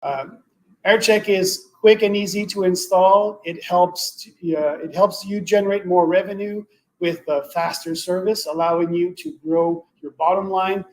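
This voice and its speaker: Higher-voiced man giving a halting product presentation. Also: steady tone, rough recording. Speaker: webinar presenter, product expert. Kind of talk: semi-scripted sales presentation.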